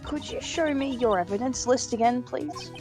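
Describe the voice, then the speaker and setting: A woman voicing a shaken young witness in a live fan dub, speaking in a hesitant, halting way. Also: fairly high pitch, music in the background, natural voice. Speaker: fan voice actor.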